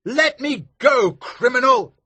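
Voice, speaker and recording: A shrill, high-pitched magistrate, pompous and panicky, pleading in a bright, drawn-out voice. The recording is close and dry. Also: male voice, sing-song, husky, dry recording, heavily acted. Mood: indignant. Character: frightened pompous male magistrate, middle-aged. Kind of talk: audiobook character voice.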